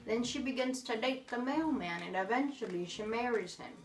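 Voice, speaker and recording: A woman's voice: a little high, lively intonation, moderate pace. A woman playing a fondly exasperated elderly grandmother who hosts a story show in an amateur comic skit. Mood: storytelling. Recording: echoey room, far from mic, music behind.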